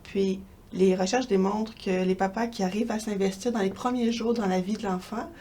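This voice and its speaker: A woman from Quebec with a Québécois accent, answering interview questions as a researcher in a measured, academic way. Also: mid-range voice, fairly even intonation, mellow, a little hiss or hum. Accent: Québécois French.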